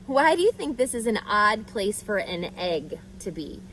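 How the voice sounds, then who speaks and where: Female children's read-aloud host, very lively intonation, deliberate and questioning, faint noise behind the voice. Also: medium pitch, poor audio. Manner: expressive, deliberate, addresses child listeners directly.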